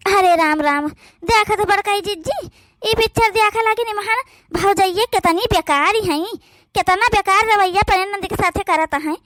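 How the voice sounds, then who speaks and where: A woman voices a sharp-tongued, gossipy sister-in-law from an Awadhi cartoon, high and exclaiming. Her voice is bright and shrill, recorded bone dry at close range. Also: normal speed, dry recording. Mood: gossiping. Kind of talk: cartoon voice acting.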